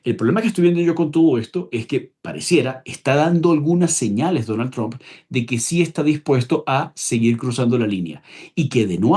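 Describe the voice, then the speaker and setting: A man's voice: medium pitch, a little mellow, slightly rough. A man giving spoken political analysis as an interviewed expert, explaining emphatically and often repeating and correcting himself.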